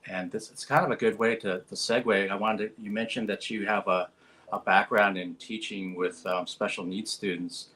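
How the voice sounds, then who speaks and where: A man's voice: lowish voice, fairly even intonation, normal speed, bright, forceful tone. A man interviewing a guest, speaking earnestly and chattily with plenty of filler words. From United States. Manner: earnest, talkative, many ums and likes. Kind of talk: casual interview over video call.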